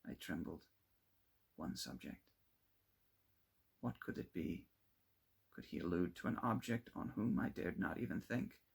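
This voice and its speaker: A man reading a classic novel aloud as an amateur narrator, with expressive, theatrical emphasis. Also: deep voice, measured pace, creaky, long pauses, breathy edge. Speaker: amateur audiobook reader. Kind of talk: dramatic first-person reading of a classic novel.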